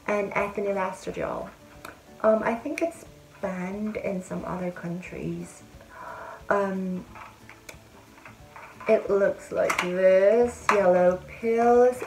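A woman's voice: mid-range voice, darkish tone, rough recording. A young woman talking to camera in a chatty, friendly way, giving step-by-step advice in a casual vlog. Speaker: vlogger. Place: indoor room with a bead-curtain backdrop.

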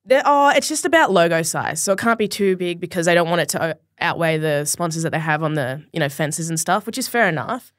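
Young Australian woman, podcast guest; casual, animated, quick to laugh; dry close mic. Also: on the low side, dry recording. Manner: laughing, swears freely, animated. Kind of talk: casual chat. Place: small podcast studio. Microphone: studio mic on boom arm.

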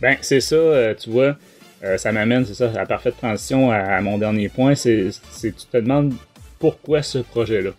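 A man's voice: medium pitch, normal speed, darkish tone, faint music behind. A man with a Quebec French accent, a podcast host giving a casual review in a hesitant, rambling way. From Quebec, Canada.

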